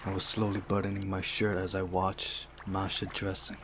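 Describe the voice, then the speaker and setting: A man reading visual novel narration aloud in a measured, soft dramatic way, as a playthrough host. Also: low-pitched, completely flat delivery, noisy background. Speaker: host of a game playthrough channel. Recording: music behind the voice. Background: game music.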